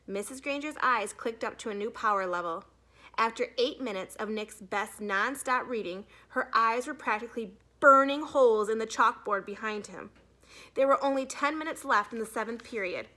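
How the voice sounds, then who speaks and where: A woman's voice: fairly high pitch, sharp tone. A woman narrating a children's storybook expressively, like a teacher reading aloud to a class.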